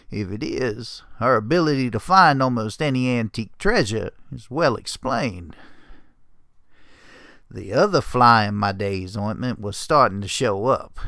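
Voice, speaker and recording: A man voicing a grumpy, grizzled old retired adventurer pony, telling his story in a gravelly, folksy, curmudgeonly way. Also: mid-range voice, a bit bright, no echo, studio quality. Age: older. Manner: folksy, curmudgeonly drawl with clipped phrases. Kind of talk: first-person storybook narration.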